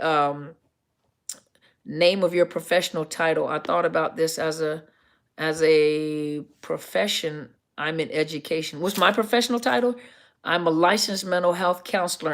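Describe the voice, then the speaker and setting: Woman, middle-aged, deep gravelly voice, casual live-stream host, rambling with ums, self-correcting. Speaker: counselor hosting a live Q&A.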